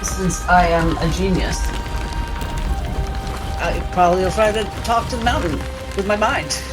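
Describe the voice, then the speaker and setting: Woman playing a tabletop rpg, talks slow, dry jokey delivery, music in the back. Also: lowish voice, rough recording. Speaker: player in a tabletop roleplaying game. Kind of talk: casual, joking in-character play at the table. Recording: music behind the voice.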